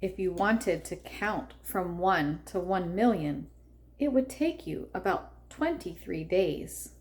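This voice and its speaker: A woman reading a children's picture book aloud expressively, with deliberate phrasing and drawn-out emphasis. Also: lowish voice, lively intonation, darkish tone. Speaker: read-aloud narrator for children.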